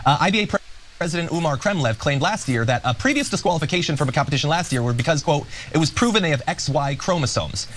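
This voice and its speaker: A man hosting a political talk show, explaining a news story in a measured, explanatory way. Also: fairly high pitch, animated, a bit bright, slight background noise. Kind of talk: news commentary.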